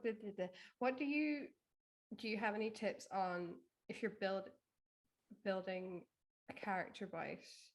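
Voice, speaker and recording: Female podcast guest, medium-pitched, informal and halting, self-deprecating while seeking advice. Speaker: guest, fiction author. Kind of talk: casual chat, asking for advice.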